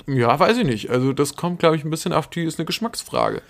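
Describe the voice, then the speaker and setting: A man's voice: on the high side, expressive, brisk, vocal fry. A man co-hosting a podcast in casual chat, teasing wryly and thinking aloud. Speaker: podcast co-host.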